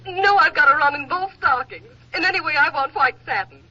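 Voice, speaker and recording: An actress playing a headstrong, breezy career-woman flyer in a 1940s radio drama, brisk, emphatic and imperious. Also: high-pitched, pushed and bright. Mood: brisk, excited. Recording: dull vintage radio drama recording.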